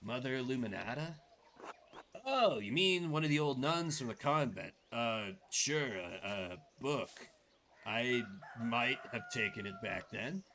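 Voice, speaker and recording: A man voicing a surly, defensive villager in a game, grumbling and swearing gruffly. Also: on the low side, close to an everyday voice. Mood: evasive. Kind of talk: voiced game dialogue. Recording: clearly noisy background.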